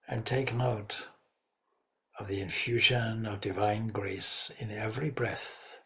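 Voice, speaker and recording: Man, spiritual teacher, guided meditation, low pitch, very slow pace, reverent, measured short phrases. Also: a bit bright.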